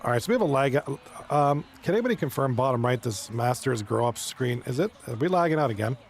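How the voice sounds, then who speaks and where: A man with a hoarse, fading voice doing casual play-by-play commentary on a live stream, chatting with viewers between plays. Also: mid-range voice, lively intonation, fast. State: hoarse, losing his voice. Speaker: esports league commentator.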